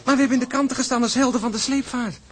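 A man's voice: very high voice, steady tone, very fast. An actor playing a loyal, worried seaman in a Dutch audio drama, earnest, blunt and colloquial. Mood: indignant.

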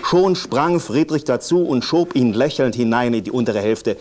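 Man reading literary prose, mid-pitched. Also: moderate pace, darkish tone. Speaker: reader of a literary text. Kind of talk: literary reading parodying football commentary.

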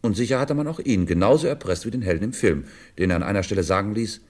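A shrewd police commissioner in a 1950s radio drama. His voice is low and moves widely in pitch. He explains patiently and deliberately, leaning on key words with theatrical emphasis. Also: expressive, darkish tone. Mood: explaining, assured.

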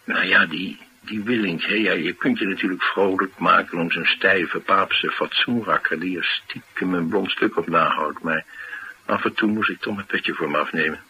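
A streetwise tough man in a radio play, low-pitched with a forceful, bright edge. He talks plainly and gruffly, rough on the surface and loyal underneath. Faint noise sits behind him. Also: steady tone, normal speed, full character voice, low-quality recording. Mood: grudging respect. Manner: gruff, plain-spoken, slangy. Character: rough, streetwise, loyal male bodyguard and driver. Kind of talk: naturalistic Dutch radio drama acting.

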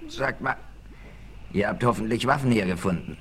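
Casting: bossy outlaw chief, sharp tense tone, commanding, sixties western audio drama. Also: male voice, fairly low pitch, moderate pace. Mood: pained, relieved. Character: villainous male tramp gang leader, scheming, bossy. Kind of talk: 1960s German western radio play acting. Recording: 1968 vinyl record, faint noise.

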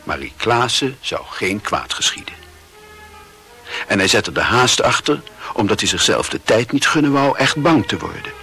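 Deep-voiced grave male narrator. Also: animated, normal speed, pushed and bright, soft background music. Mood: brooding. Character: grave, introspective male narrator of a literary tale. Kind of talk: literary radio play narration.

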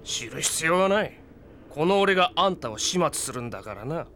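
An actor playing a cocky, cold-hearted gunslinger villain in an anime audio drama, swaggering and taunting with drawn-out words. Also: male voice, fairly high pitch, expressive, bright, forceful tone, slight background noise. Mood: menacing. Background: prominent dramatic music.

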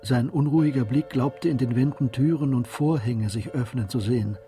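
A man narrating a literary German radio drama in a measured, composed storytelling manner. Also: medium pitch, warm tone, faint music behind.

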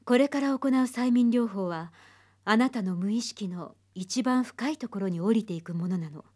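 An actress playing a composed, haunted psychiatrist, speaking in a measured, grave way in a Japanese radio drama. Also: female voice, fairly high pitch, darkish tone, lightly acted. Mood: calm, clinical. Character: composed, haunted female psychiatrist telling her dark past.

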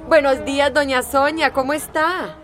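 A woman's voice: sits high, fairly even intonation, moderate pace, noisy background, lightly acted. An actress in a radio drama playing a bossy, scatterbrained committee woman with a fussy, imperious manner. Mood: officious.

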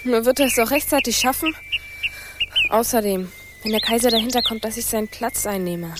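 Radio play casting: woman as skeptical young decoy, fairly high, grumbling, loud music behind. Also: brisk, close to an everyday voice. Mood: uneasy, grumbling. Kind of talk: German radio play acting.

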